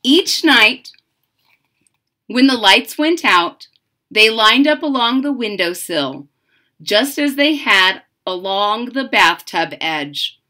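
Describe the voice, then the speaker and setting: A middle-aged woman reads a children's story aloud in a bright, high voice. Her pitch swings expressively and she takes her time, with a dramatic, deliberate delivery that explains things simply for young listeners. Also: lively intonation. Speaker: storyteller reading a picture book aloud to children. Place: indoors, small home room.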